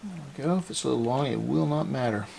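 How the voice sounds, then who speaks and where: A man with a medium-pitched voice full of vocal fry talks through a hands-on repair. He explains each step methodically in plain, informal language. A light noise sits behind him on the recording. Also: expressive, quick pace, a little mellow. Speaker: host of a radio restoration series.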